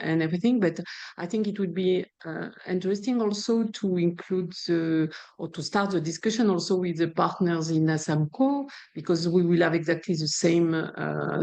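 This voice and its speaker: Gravelly low woman, hesitant. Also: darkish tone. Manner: hesitant, halting, many fillers. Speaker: meeting participant. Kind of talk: meeting discussion.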